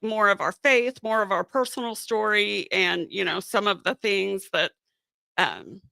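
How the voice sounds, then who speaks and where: A woman's voice: mid-range voice, vocal fry, sharp tone, no room sound. A woman hosting a casual live-stream chat on her channel, losing her voice and sounding scratchy, rambling along with plenty of fillers. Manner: chatty, rambling with many fillers. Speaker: channel host. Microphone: podcast microphone.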